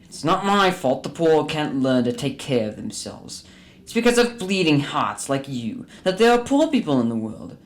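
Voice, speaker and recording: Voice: medium pitch, very animated, normal speed, close to an everyday voice. An actor playing a bitter, miserly old businessman who grumbles and scolds curtly in a radio drama. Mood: scornful, angry.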